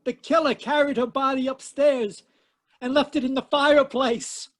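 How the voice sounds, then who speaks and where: A man voices a panicked messenger in a radio play, his voice pitched very high. He stammers and rushes through the alarming news. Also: fairly even intonation. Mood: frantic. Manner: frantic and stammering.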